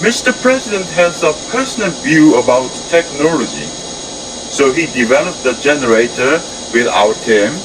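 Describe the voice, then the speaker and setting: An actor playing an enthusiastic research team leader in an amateur audio drama, speaking halting, stilted English. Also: mid-range voice, animated, background noise, lightly acted. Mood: chatty. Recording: music under the voice.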